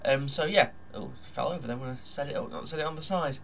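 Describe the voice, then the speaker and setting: Guy with a stuffy nose from a cold, chatty and rambling, a bit higher voice, faint hiss behind. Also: fast, creaky, fairly bright tone. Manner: rambling, chatty, lots of ums and uhs. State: nasal and bunged up from a cold. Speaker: hobby model builder vlogger. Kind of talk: casual narration of a build. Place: at his desk at home.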